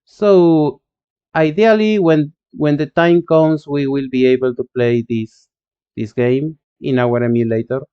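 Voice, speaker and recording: An Argentine-accented man, a native Spanish speaker, streams himself coding and chats with viewers in a slow, unhurried way. His voice is smooth and clear, though the stream audio sounds dull and lo-fi. He talks in halting bits, full of fillers and restarts. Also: a little high, fairly even intonation. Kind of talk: live coding stream, reading chat.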